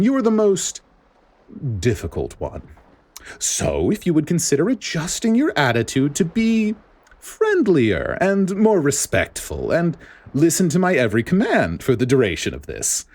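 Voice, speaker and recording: A man voicing a smug, middle-aged director who wheedles in an oily, drawn-out way, in a story reading. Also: fairly high pitch, a little mellow, slightly rough, pitched above the performer's own voice, full character voice. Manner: oily, drawn-out persuasion, stammering when cornered.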